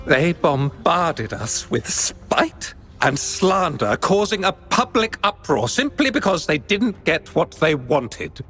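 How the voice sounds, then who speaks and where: A man's voice: a little high, very animated, slow pace, few pauses, fairly bright tone, full character voice. An actor playing a pompous male head of an art exchange, speaking in a formal, indignant, declamatory way. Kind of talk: video game voice acting. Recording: game audio with faint background music. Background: faint music.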